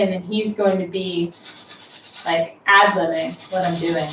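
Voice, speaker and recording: A woman hosts a casual home baking demonstration in a mid-pitched voice, taking her time as she explains. She is chatty and a little self-deprecating. The recording is roomy, with clear noise behind her. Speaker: host of a home baking tutorial. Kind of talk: casual unscripted how-to demonstration. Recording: roomy, distant mic with clear background noise.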